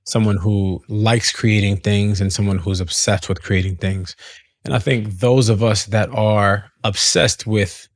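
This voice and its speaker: A man speaking in a relaxed, halting way as a singer being interviewed. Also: lowish voice, no room sound. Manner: relaxed, hesitant, laughs often. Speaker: guest singer. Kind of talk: conversational interview.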